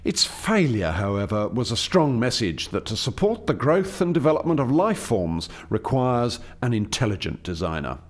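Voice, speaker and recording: A man's voice: mid-range voice, sing-song, normal speed, few pauses. An actor playing an eager, proud alien scientist who formally explains his experiment in a radio play. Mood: candid, animated. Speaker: lead scientist presenting a project. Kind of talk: radio play dialogue, expository.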